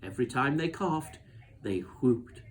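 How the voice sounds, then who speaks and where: A man reading a children's novel aloud as expressive storybook narration. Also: medium pitch, a little slow.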